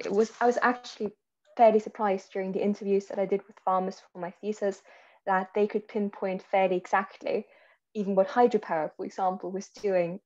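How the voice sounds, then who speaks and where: A woman's voice: medium pitch, a little mellow. A woman from Austria, a guest journalist explaining her work in a panel over a video call. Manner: measured, explanatory, many ums. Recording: slightly muffled call audio.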